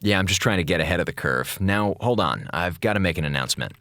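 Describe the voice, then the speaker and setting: A man's voice: low-pitched, expressive, fast, a bit bright, dry recording, studio quality. An actor playing a wisecracking, sarcastic male communications officer who rambles and jokes through his log. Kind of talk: audio drama log narration.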